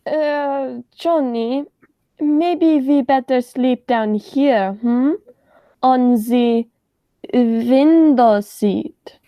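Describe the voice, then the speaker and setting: A nervous doctor sidekick played by a teenage girl in an amateur radio play. The voice is high and unhurried, hesitant and pleading as it tries to soothe a dangerous boss. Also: highs cut off, exaggerated voice. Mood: timid, coaxing. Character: nervous, timid doctor, henchman to a villain.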